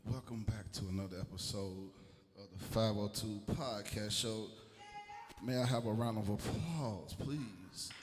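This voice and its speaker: A man with a deep voice hosting a live podcast, chatty and loose, hyping up a small crowd. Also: measured pace. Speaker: podcast host. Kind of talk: casual live podcast talk. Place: live room with a small audience. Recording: mic feedback in a large reverberant room.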